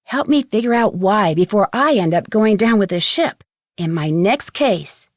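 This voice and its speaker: A woman's voice: medium pitch, lively intonation, unhurried, no echo, clean studio sound. A woman playing a curious, plucky young amateur detective in a video game, articulate and inquisitive as she thinks things through. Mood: intrigued, storytelling. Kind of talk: scripted video game voice acting.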